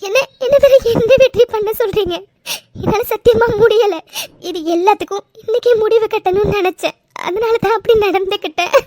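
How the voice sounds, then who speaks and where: A woman's voice: high-pitched, animated, rapid-fire, no room sound. A woman acting a distraught, defiant young office worker in an emotional drama, pleading and repeating her words. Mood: tearful, defensive.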